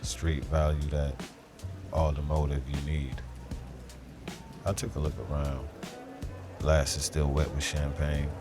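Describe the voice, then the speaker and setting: Very deep brooding noir detective, acted by a man. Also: completely flat delivery. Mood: grim, observant. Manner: measured, dramatic, terse. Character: brooding, street-smart male police detective narrating a noir mystery. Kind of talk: noir audio drama narration. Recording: voice over prominent lo-fi music.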